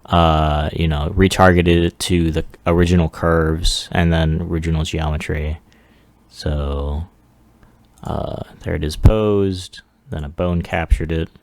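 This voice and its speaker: A man with a very low voice full of vocal fry narrates a casual screen-recorded demo. He is offhand and halting, letting asides trail into a mumble. The recording is close and dry, with hardly any room sound. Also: fairly even intonation, no room sound. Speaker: 3D animation hobbyist presenting a demo.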